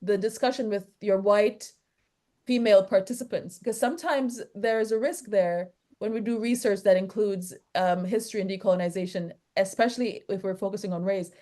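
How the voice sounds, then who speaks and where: A woman's voice: mid-range voice, fairly even intonation, brisk, warm tone. A woman academic with a Jamaican accent, in an academic discussion, hesitantly searching for words and repeating phrases. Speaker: academic discussant asking questions.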